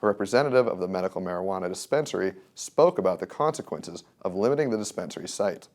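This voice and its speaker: A man's voice: low-pitched, animated, quick pace, darkish tone. An American man reading a scripted local news report as a reporter.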